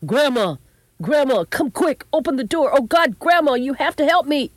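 A woman's voice: high-pitched, animated, moderate pace, no room sound, pitched above the performer's own voice. A woman voicing a distraught, foolish young grandson who pleads and makes excuses in a panicky way. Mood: panicked.

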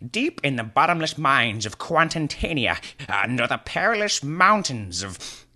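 A man's voice: mid-range voice, big pitch swings, unhurried, pushed and bright, voice pushed up, exaggerated voice. A man voicing a pompous old bearded sorcerer who declaims in archaic speech.

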